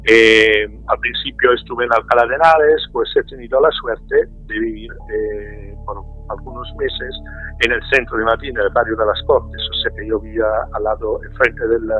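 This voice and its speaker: A middle-aged Italian man speaking Spanish with an Italian accent, talking in a radio interview with frequent pauses and fillers. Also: medium pitch, fairly even intonation, slightly rough, narrow, tinny audio, faint music behind. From Italy. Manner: hesitant, many fillers and restarts. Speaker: interview guest.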